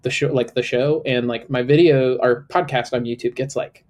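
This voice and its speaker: A man co-hosting a casual podcast chat, talking in a loose, rambling way full of fillers, with a slightly high, a little nasal voice. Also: fairly even intonation, normal speed, a little mellow. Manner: chatty, filler-heavy, lots of "like". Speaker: co-host.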